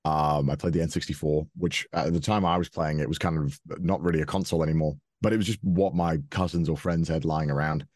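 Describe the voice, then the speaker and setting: Deep-voiced young man chatting. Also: steady tone, brisk, few pauses, darkish tone, dry recording, clean studio sound. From Wales. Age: 20s. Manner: hesitant, many restarts and fillers, laughs often. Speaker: guest. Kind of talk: casual interview chat.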